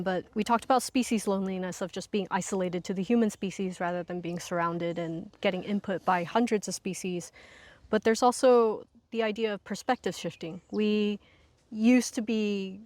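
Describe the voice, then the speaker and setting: A woman with a medium-pitched voice speaks as a guest instructor in an interview. She explains things conversationally, working through her thoughts aloud in long, linked sentences. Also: no echo.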